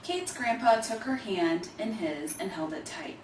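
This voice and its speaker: A woman's voice: medium pitch, expressive, measured pace. A woman narrating a children's story, reading from a script. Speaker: storybook reader. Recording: roomy, distant mic, faint noise.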